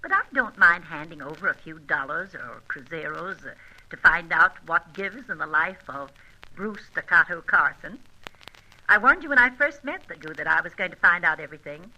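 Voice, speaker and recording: A brash, bright flirt. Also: female voice, lowish voice, animated, normal speed, lo-fi sound, heavily acted. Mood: sly, playful. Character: brash, flirtatious, mischievous American female adventuress. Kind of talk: old-time radio serial acting.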